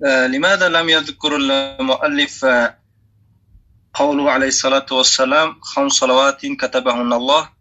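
A man's voice: mid-range voice, steady tone, brisk, sharp tone. A male student politely asking a hesitant question in a class. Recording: dull, distant remote audio.

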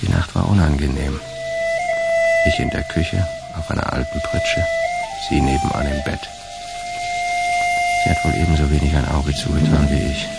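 A man acting in a radio drama as a wistful fugitive who confides in his diary in a gravelly, measured voice. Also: steady tone, over loud music, rough recording. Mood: brooding, intimate. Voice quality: deep, gravelly, creaky. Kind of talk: radio drama first-person narration.

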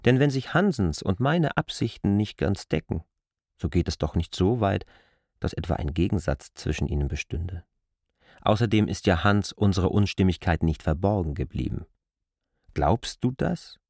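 Deep, swooping, persuasive K. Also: male voice, darkish tone, no room sound, studio quality. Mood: reasoning, persuasive. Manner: argumentative, deliberate, persuasive. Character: K., calculating lover coolly defending himself. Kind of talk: literary radio drama acting.

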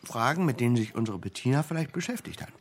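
An actor plays a sceptical man with a middle-pitched voice. He probes conversationally and a bit hesitantly, in natural radio-drama dialogue. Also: lively intonation, fast, slight background noise, natural voice. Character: sceptical, probing man questioning an investigator.

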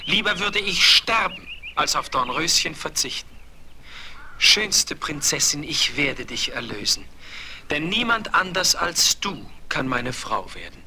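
A young fairy-tale prince, ardent and theatrical. The voice is very bright and somewhat high, with slow, deliberate phrasing. It comes from an old radio play on vinyl. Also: male voice, sing-song, heavily acted. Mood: resolute, ardent. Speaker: voice actor. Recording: faintly noisy, clicking vinyl record.

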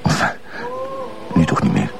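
An actor playing a polite, awkward, eager junior police colleague with a Flemish accent and a hesitant, deferential manner in a television crime drama. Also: male voice, moderate pace, a crowd in the background, lightly acted.

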